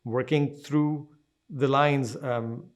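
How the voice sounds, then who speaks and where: Medium-pitched man explaining a recording process. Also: steady tone, drawn-out words. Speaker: production team member. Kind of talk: explanatory behind-the-scenes talk.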